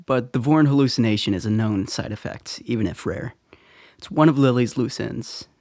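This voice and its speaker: Man acting a gravelly, terse intelligence officer. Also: mid-range voice, moderate pace, a little mellow, no echo, studio quality, close to an everyday voice. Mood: matter-of-fact briefing. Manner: terse, matter-of-fact. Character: gruff, confident military intelligence officer, a man. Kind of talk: radio drama acting.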